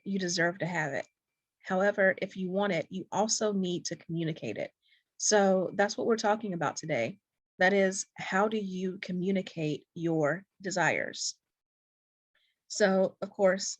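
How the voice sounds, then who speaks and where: Soft spoken lady dating coach, kinda low voice, super dry close mic. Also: no echo. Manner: soft-spoken, warm and encouraging. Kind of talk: direct-to-camera coaching talk.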